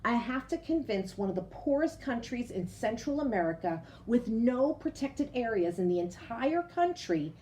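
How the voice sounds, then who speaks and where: Expressive woman reading to children. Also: a little high, normal speed, few pauses, a little hiss or hum. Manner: expressive, addresses young listeners directly with questions. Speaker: teacher reading a picture book aloud to children. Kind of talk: storybook read-aloud with explanatory asides.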